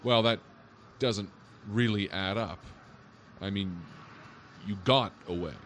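An actor playing a skeptical, wry police officer in an audio drama, questioning in a casual, doubting, placating way. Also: male voice, on the low side, takes it slowly, a bit bright. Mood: incredulous, puzzled. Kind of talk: audio drama acting, naturalistic.

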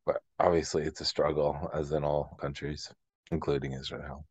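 A man's voice: a bass voice, flat delivery, moderate pace, a little mellow, breathy edge, no echo, dull audio. A man co-hosting a casual music chat, speaking in a reflective, hesitant way peppered with filler words. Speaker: co-host of a music discussion show.

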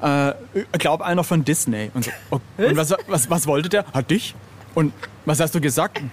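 A man's voice: on the high side, lively intonation, normal speed. A middle-aged man being interviewed as an illustrator, telling anecdotes in a casual, informal and self-deprecating way. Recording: clearly noisy background ambience.

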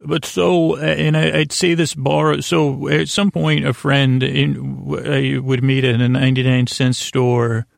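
A man hosting a sleep podcast, rambling in a halting, stammering, self-deprecating way that keeps circling back on itself. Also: mid-range voice, little pitch movement, vocal fry, dry recording, studio quality. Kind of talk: rambling bedtime monologue.

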